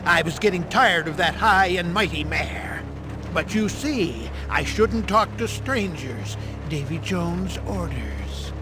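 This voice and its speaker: A man's voice: high voice, expressive, slow, over music, full character voice. A man voicing a sly, greedy sea creature in a video game, wheedling and taunting in a theatrical way. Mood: sly, demanding.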